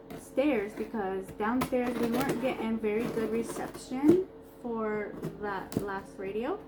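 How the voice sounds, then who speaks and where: High-voiced woman, unhurried product reviewer. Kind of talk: casual unboxing review vlog. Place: upstairs room in a brick house. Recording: noisy home recording.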